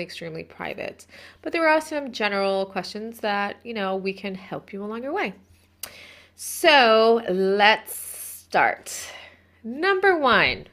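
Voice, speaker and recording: A woman in her thirties hosting a casual talk about spiritual practice. Her speech is chatty and rambling, full of restarts and asides. She sits at a middle pitch, neither high nor low. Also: fairly bright tone. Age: 30s. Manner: chatty, meandering, self-interrupting. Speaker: host.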